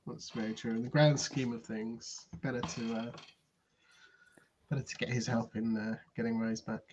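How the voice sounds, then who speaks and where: A man joining a game stream, making dry jokes with trailing pauses and filler sounds. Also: medium pitch, flat delivery, a little mellow. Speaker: player. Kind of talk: casual banter. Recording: dull lo-fi mic with faint hiss.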